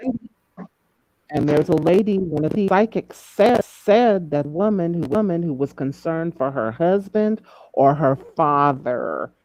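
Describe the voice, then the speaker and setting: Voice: mid-range voice, animated, unhurried, a little mellow, dry recording, poor audio. A person in a casual group discussion, speaking emphatically and often repeating phrases for effect. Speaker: panelist in a true crime discussion. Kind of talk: casual chat, speculating in a group call.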